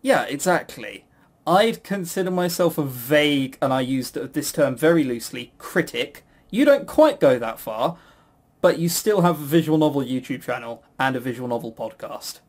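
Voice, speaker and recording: A man's voice: medium pitch, big pitch swings, moderate pace. A man co-hosting a podcast in casual banter, rambling and jokey, making fun of himself and swearing casually. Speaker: podcast co-host and quizmaster.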